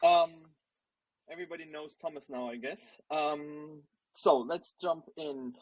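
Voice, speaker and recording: A man's voice: fairly high pitch, unhurried, no echo, poor audio. Dry-sounding joking male host. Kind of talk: casual hosting chat.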